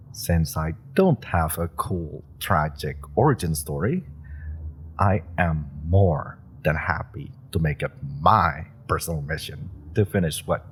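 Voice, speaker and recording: Guy doing an eager young super rookie, deep husky voice, slow but bouncy delivery. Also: a little hiss or hum. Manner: eager, pushy, persuasive talk with playful laughs. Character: eager young male super-powered rookie, pushy and persuasive. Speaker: voice actor in an audio roleplay. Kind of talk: scripted audio roleplay addressed to the listener.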